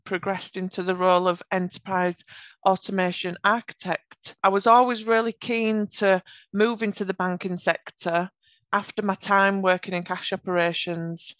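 A woman with a Yorkshire accent talks through her career in earnest, measured answers. Her voice is smooth and resonant. The recording is close and dry, with no room sound. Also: medium pitch, a bit bright, dry recording. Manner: earnest, measured explanations with occasional restarts. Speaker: podcast guest, automation architect. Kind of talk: conversational interview answers.

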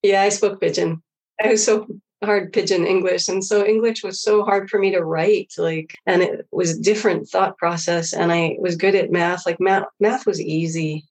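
Female interview guest, middle-aged, husky edge to the voice, loose and reflective conversational storytelling. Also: fairly low pitch, steady tone, normal speed, darkish tone. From Hawaii. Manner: informal, rambling, quotes own thoughts. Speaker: interview guest, former engineer.

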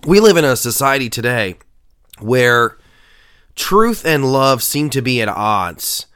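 A man's voice: medium pitch, big pitch swings, slow, a bit bright, smooth. A man who pastors and hosts a faith podcast, talking in an earnest, emphatic way with a nasal voice and frequent filler sounds. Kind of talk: podcast sermon talk.

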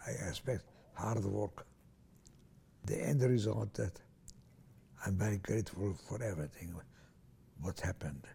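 Older man, Hungarian native, slow and husky, oral history interviewee. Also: on the low side, mellow. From Transylvania, Romania. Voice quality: gravelly, husky, hesitant with many restarts.

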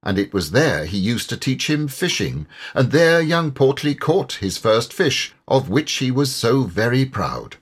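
A man's voice: mid-range voice, big pitch swings, unhurried, few pauses, husky, pitched above the performer's own voice. A man voicing a kindly water rat for an audiobook, a grave and earnest friend full of concern. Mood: anxious, sympathetic. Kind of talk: audiobook character voice.

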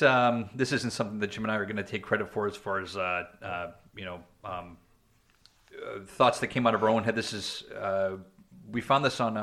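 A man's voice: on the low side, fairly bright tone. A man co-hosting a casual podcast chat, rambling with fillers and restarts, joking now and then. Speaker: podcast co-host.

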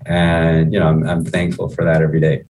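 A man's voice: very deep voice, monotone, a little fast, darkish tone, far from the mic. A middle-aged man from Guyana who moved to the US as a child, speaking reflectively and conversationally as an executive being interviewed. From Guyana, moved to the US at eleven. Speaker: chief executive, interview guest.

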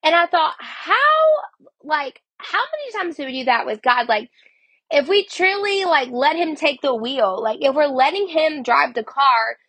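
High bright-voiced woman, sniffly. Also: expressive, measured pace, no room sound. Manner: chatty, heavy use of "like". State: sniffly from a cold. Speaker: podcast host. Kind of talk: casual devotional talk.

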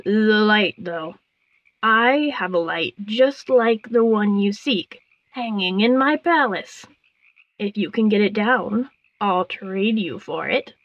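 A woman voicing a sly, commanding frog queen in a storybook tale, croaking and drawing her words out haughtily. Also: mid-range voice, no echo, dull audio. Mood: sly, dry. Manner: slow, drawn-out, haughty delivery.

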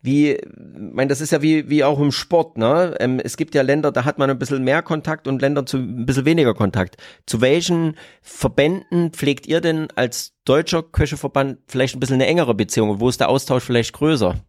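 A man's voice: medium pitch, brisk, hardly any pauses, a little mellow, no echo, studio quality. A man hosting a podcast interview, talking hesitantly with many ums as he asks questions and reads out quotes. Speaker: podcast host.

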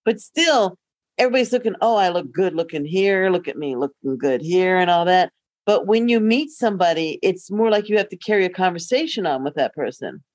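A woman's voice: lowish voice, animated, brisk, a little mellow, dry recording. Middle-aged woman podcaster, dry close sound. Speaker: podcast co-host. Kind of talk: casual conversational chat.

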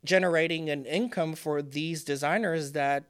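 A man in his thirties, born in Puerto Rico and a native Spanish speaker, speaks in a smooth, resonant voice that sits a bit high for a man. He talks in a casual, thoughtful way, pausing often with ums and restarting sentences as he explains his work. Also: steady tone. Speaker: guest, fashion designer. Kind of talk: casual interview talk.

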